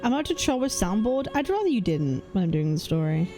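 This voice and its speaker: A woman's voice: medium pitch, sing-song, a little fast. A woman game streamer reacting casually to a story and reading some of it aloud as she plays. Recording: game music behind the voice.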